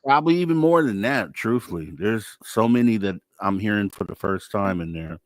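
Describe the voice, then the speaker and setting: Low-voiced man hosting a talk show. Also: lively intonation, normal speed, dry recording. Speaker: radio show host. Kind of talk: casual live talk show interviewing.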